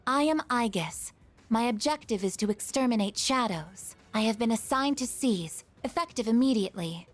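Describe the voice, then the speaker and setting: A woman's voice: fairly high pitch, expressive, moderate pace, soft background music. A woman voicing a devoted female android in a video game, speaking in formal, stilted, literal phrases. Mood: formal, matter-of-fact. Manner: formal, stilted, robotic delivery.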